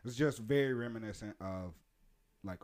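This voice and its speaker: A man's voice: on the low side, normal speed. A man in his late twenties co-hosting a casual podcast chat, explaining his views with many fillers. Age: 20s. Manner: animated, hesitant with fillers when explaining. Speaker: podcast co-host.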